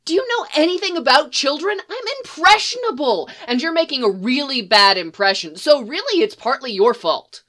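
A woman's voice: sits high, very animated, a little slow, bright, forceful tone, voice pushed up, heavily acted. Woman doing a mouthy, sassy eleven-year-old boy. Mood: argumentative. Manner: combative, sassy back talk.

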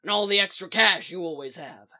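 Woman as gruff loyal crook, male, blunt, story reading, slightly dull recording. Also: mid-range voice, takes it slowly, a bit bright. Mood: upset, demanding.

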